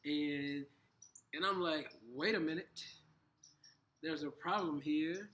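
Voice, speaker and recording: A man co-hosting a casual conversation, talking in halting bursts with frequent restarts. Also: a little high, fairly bright tone. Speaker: co-host.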